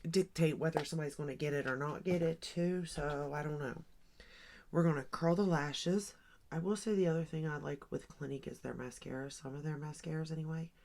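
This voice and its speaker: Gravelly-voiced woman in her forties. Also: sits low, a little mellow. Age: 40s. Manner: chatty, rambling, thinks aloud with many ums and likes. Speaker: beauty vlogger. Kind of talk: casual get-ready-with-me makeup chat.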